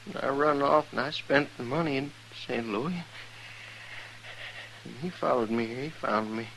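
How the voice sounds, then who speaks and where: An actor playing a mortally wounded, remorseful American outlaw, speaking weakly and haltingly in a 1950s radio western. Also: male voice, mid-range voice, vocal fry, a bit bright, low-quality recording. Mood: pained, weary. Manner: halting, folksy. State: weak, dying. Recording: vintage radio recording with faint noise.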